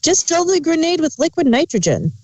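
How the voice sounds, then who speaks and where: A woman's voice: very high voice, big pitch swings, fairly quick, darkish tone. A woman chiming in with casual banter.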